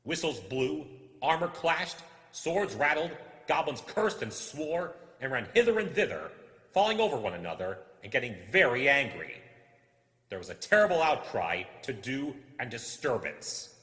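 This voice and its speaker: A man's voice: medium pitch, very animated, normal speed, pushed and bright. A man reading a story aloud in a dramatic, suspenseful style with theatrical phrasing. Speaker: audiobook reader. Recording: echoey, long reverb.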